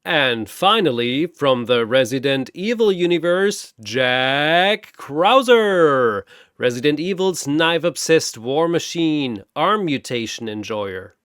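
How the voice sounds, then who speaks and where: A man's voice: on the high side, expressive, slow, a bit bright, clean tone, no echo, studio quality. A man voicing an almighty, gleefully mocking god who announces arena fighters in a grandiose, theatrical showman's style. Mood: showy, mocking. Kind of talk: bombastic showman ring announcing.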